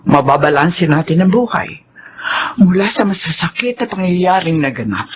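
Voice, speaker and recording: A woman's voice: on the low side, lively intonation, normal speed, breathy edge, highs cut off. A woman playing a sorrowful, compassionate older mother in an emotional radio drama, tearful and earnest. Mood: tender, sorrowful.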